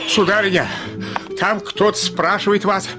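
An actor playing a deferential, fretful manservant in a radio play, folksy and flustered in manner. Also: male voice, high-pitched, fairly even intonation, normal speed, music in the background, lightly acted. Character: deferential, fretful male household servant. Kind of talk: classic radio play acting.

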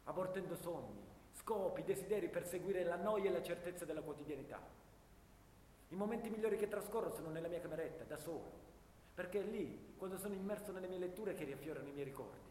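A man's voice: expressive, fast talker, a little mellow. A man playing a disillusioned, bookish young soldier, speaking earnestly and in literary phrases in a radio drama. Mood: bitter, sorrowful. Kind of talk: dramatic radio theatre monologue. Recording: echoey reverberant room, low rumble.

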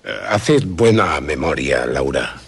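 A man playing a grave, elderly doctor in a radio drama, very husky and gravelly, with formal, measured questioning. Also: extremely low pitch, sing-song, heavily acted. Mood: probing.

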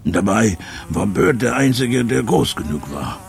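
An actor playing a bitter old jazz trumpeter, broken and haunted, rambling and repeating himself in a German radio drama. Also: male voice, medium pitch, very animated, unhurried, creaky, slight background noise. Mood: wistful, haunted.

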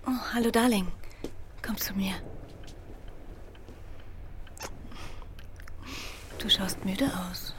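An actress playing an affectionate, concerned and teasing wife in a radio play. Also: female voice, medium pitch, normal speed, very noisy, natural voice.

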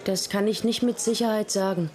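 Female radio-drama role: streetwise, wry witness, played by an actress, medium pitch, clear ambient noise. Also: fairly even intonation, a little fast, darkish tone, natural voice. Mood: wry, frank. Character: wry, frank, streetwise woman in a nightspot. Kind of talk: German radio play dialogue.

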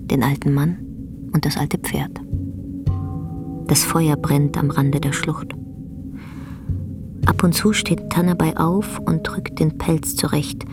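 An actress as a grave, contemplative storyteller, narrating a radio play in a husky, breathy voice. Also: female voice, low-pitched.

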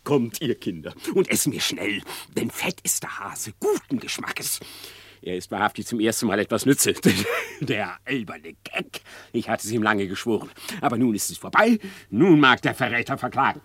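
An actor playing a sly, scheming male fox in a radio play, smooth and mocking with chuckles. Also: lowish voice, very animated, moderate pace, fairly bright tone, no echo, heavily acted. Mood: gleeful. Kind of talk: classic radio play acting.

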